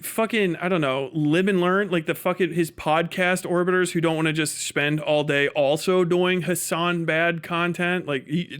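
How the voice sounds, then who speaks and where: A man with a rather high voice rants off the cuff as a livestream commentator. He is exasperated and emphatic, swears freely, and hammers a point by saying it again. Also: fairly bright tone, clear, resonant tone. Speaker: livestream commentator reacting to clips. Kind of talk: casual unscripted political rant.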